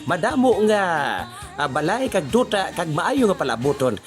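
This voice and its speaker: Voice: mid-range voice, sing-song, moderate pace. A talk show co-host with a Western Visayan Philippine accent, bantering casually in a playful, teasing way. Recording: loud music bed over the voice. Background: music.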